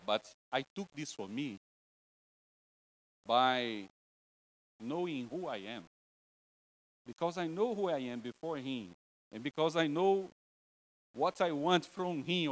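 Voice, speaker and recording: A man's voice: fairly high pitch, rough recording. Brazilian-accented man joking through a sermon. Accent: Brazilian Portuguese. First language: Portuguese. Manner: joking, self-deprecating, broken English. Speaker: preacher. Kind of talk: informal sermon. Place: church.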